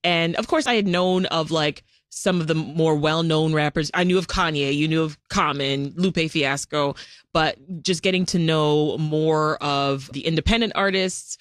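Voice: medium pitch, measured pace, fairly bright tone, no room sound, studio quality. A radio host from Jamaica, talking in a casual, chatty interview, laughing often and using many fillers.

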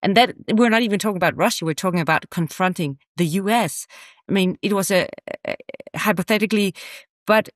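A woman's voice: mid-range voice, brisk, no echo, studio quality. A woman giving conversational political commentary on a panel, earnest and emphatic, repeating words for weight.

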